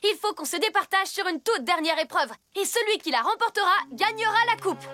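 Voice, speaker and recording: An eager little cartoon superhero with an extremely high, childlike voice. The small hero sounds earnest and plucky, with music playing underneath. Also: female voice, expressive, a little fast, sharp tone, no room sound, clean studio sound, full character voice. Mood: earnest, determined. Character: plucky six-year-old superhero child in cat costume, earnest. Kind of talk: French children's cartoon dubbing. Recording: music behind the voice.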